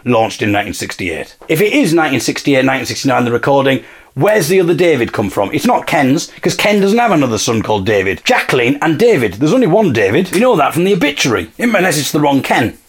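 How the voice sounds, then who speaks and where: A man's voice: medium pitch, breathy edge. A man hosting an investigative series, informally thinking aloud to listeners in a musing, self-questioning way and repeating points for emphasis.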